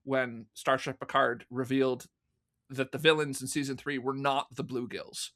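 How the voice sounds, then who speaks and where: A man's voice: medium pitch, steady tone, normal speed, fairly bright tone. A man speaking as a guest in a casual podcast conversation, telling things animatedly and acting out imagined quotes. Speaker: interview guest, audio drama producer.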